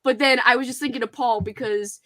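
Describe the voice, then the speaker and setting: A woman's voice: a little high, steady tone, a little fast, bright, forceful tone. A young woman hosting a livestream, chatting with friends and telling rambling stories between giggles. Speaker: stream host. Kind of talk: casual group chat on a livestream.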